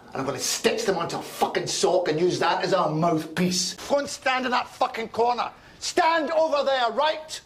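Scottish govt spin doctor guy, high voice, sweary angry bully, bit of background noise. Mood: scornful threats. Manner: profane, menacing, sarcastic, bullying. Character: foul-mouthed, ferociously angry male government spin doctor. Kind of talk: scripted satirical screen acting. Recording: noisy location film or TV sound.

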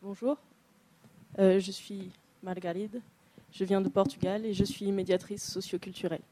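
A woman works as an interpreter, rendering each passage after the speaker in a plain, steady way. Her voice is mellow and dark, with a middle pitch. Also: a little hiss or hum, poor audio. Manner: plain, brief pauses while rendering. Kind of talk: consecutive interpretation.

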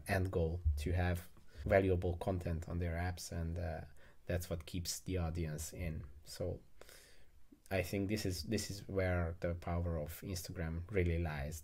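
A man's voice: low-pitched, a little mellow. A young man hosting a casual interview over a video call with earbuds in, asking probing questions. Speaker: interview host. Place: indoors, home room with gaming chair.

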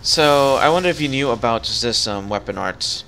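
A man's voice: medium pitch, animated, normal speed, fairly bright tone, a little hiss or hum. A man chatting casually while playing a game, coaching his co-op partner step by step. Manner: instructive, coaching. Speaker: gamer guiding a co-op partner. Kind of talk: casual gameplay chat.

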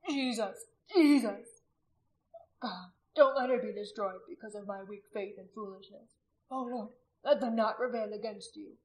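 A woman reader portrays a repentant warrior husband in a dramatic storybook reading. The voice sits at a middle female pitch, anguished and pleading as he prays. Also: a little slow, close to an everyday voice, low-quality recording. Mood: weeping remorse. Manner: groaning, pleading.

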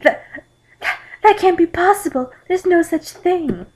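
Woman playing a teenage fangirl, tearful, gushing, melodramatic, halting, high-pitched. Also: steady tone, a little mellow, heavily acted, low-quality recording. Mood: shocked disbelief. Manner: gasping, over-dramatic, halting.

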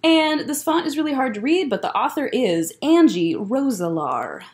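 A young woman reads picture books aloud for children. Her voice sits a little high and swings widely in pitch. She is expressive and breaks off for casual asides about the pages. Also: normal speed. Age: young adult. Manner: expressive, child-directed storytelling. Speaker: children's librarian, story time host. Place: library room lined with bookshelves, indoors.

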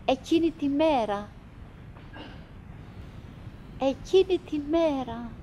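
An actress playing a wistful, chattering middle-aged wife in a theatrical radio monologue, in broken, repetitive phrases with dramatic pauses. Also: female voice, high-pitched, lightly acted. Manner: fragmented, repetitive, dramatic pauses with sudden swells.

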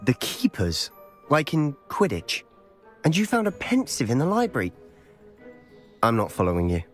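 A curious young wizard student, animated and quick to question. His voice rises and falls freely and carries a wry, teasing edge. Faint music hums under the game dialogue. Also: mid-range voice. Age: young adult. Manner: lively, quick to question and tease. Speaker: student companion in a video game.

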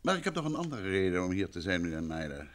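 An actor playing a composed, probing detective husband in an old Dutch radio drama, speaking with polite, measured questions. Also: male voice, sits low, very animated. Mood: courteous, probing.

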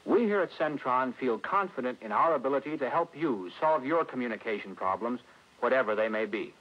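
A dull, lo-fi old film recording of a middle-aged American man with a husky voice. He narrates formally as a company spokesman, and his pitch moves in lively swings. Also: brisk. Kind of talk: scripted 1960s industrial film narration. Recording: dull, lo-fi vintage film soundtrack.

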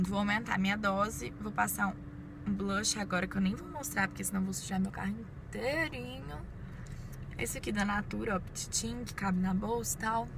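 A Brazilian woman in her twenties chatting informally to viewers inside a parked car, busy with her makeup as she talks. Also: medium pitch. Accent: Brazilian Portuguese. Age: 20s. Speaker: beauty vlogger. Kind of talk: casual chat to viewers while doing makeup. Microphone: phone at arm's length. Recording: clear vehicle and ambient noise.

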